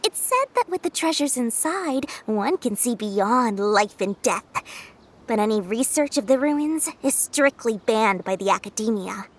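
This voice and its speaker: A woman's voice: a little high, lively intonation, measured pace. A woman voicing a shrewd, greedy young female merchant in a video game, talking in a sly, bubbly, salesy way. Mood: explaining. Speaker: game character.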